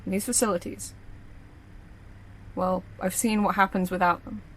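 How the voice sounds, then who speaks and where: Woman playing a blunt, brisk operative, mid-pitched. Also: fairly even intonation, a bit bright, slight background noise, close to an everyday voice, poor audio. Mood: earnest, guarded. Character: blunt, observant, confident young security operative. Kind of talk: naturalistic audio drama acting.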